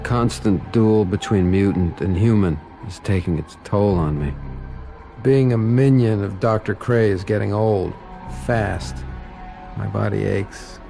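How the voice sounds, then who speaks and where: A man voicing a grim, tormented soldier in a video game, delivering a terse, brooding inner monologue with a gravelly voice. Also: fairly low pitch, measured pace, over music. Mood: weary, bitter. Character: grim, tormented male soldier turning mutant. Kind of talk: video game narration in character.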